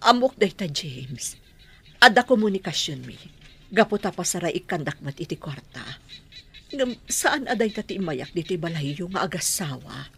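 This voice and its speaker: A woman's voice: on the low side, very animated, moderate pace, gravelly, fairly bright tone, breathy edge, exaggerated voice. A woman playing an earnest, remorseful middle-aged woman making peace in a radio drama, with an Ilocano Filipino accent. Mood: remorseful, pleading.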